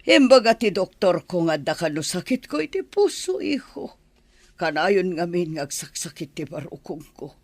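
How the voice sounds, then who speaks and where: Wheedling older Filipino mother for radio comedy, female actor, very animated delivery, dry close recording. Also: fairly low pitch, moderate pace, no room sound. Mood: plaintive. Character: wheedling older mother, scheming over her son's love life.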